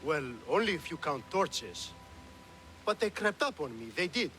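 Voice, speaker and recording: Nervous rambly guard in a game, kinda high, all over the place. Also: male voice, sing-song, normal speed, fairly bright tone, soft background music, low-quality recording. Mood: flustered. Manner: flustered, babbling excuses. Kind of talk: comic video game voice acting.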